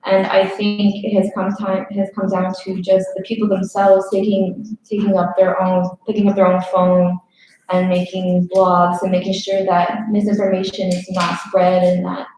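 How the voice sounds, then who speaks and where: Young woman from Texas, flat even delivery, lots of fillers, very echoey room. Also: mid-range voice, normal speed. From Texas, United States. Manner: earnest, with "like" and "um" fillers. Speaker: panelist. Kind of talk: panel discussion. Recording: very echoey with faint noise.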